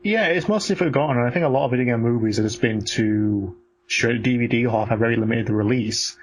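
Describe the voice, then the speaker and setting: A man co-hosts a casual film chat in a mid-pitched voice. He is blunt and halting and swears freely, with slight noise behind him. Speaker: podcast co-host. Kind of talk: casual opinionated film discussion.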